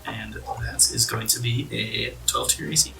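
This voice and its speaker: A man's voice: medium pitch, fairly even intonation, normal speed, creaky, pushed and bright, distant mic. A man improvising narration as game master of a tabletop fantasy game. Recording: roomy, off-mic, music underneath. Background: background music.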